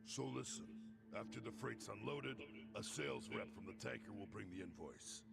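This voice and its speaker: Stern crime-game warehouse boss, very gravelly, curt and menacing, loud music underneath. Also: male voice, on the low side, moderate pace. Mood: businesslike. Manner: curt, matter-of-fact, menacing. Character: stern, threatening warehouse boss in shady trade. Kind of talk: video game voice acting.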